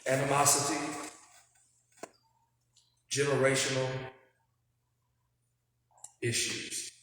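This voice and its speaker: A man moderating a panel, giving a formal public address in a solemn, measured, preacher-like way. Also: mid-range voice, completely flat delivery, fairly bright tone. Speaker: moderator opening a panel discussion. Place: large indoor hall with long reverb. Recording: very echoey hall with faint noise.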